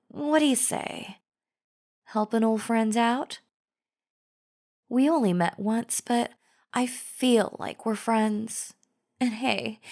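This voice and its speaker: A woman's voice: a little high, darkish tone. A woman playing a chatty female zombie in an audio roleplay, casually coaxing the listener with false friendliness and a sly, wheedling drawl. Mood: casual, persuasive. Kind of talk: scripted audio roleplay acting, addressing the listener.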